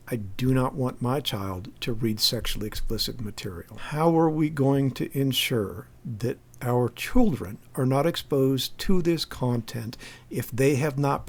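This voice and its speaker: Male, American accent, medium pitch, spoken commentary, emphatic, insistent, argumentative. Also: creaky. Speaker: activist commentator.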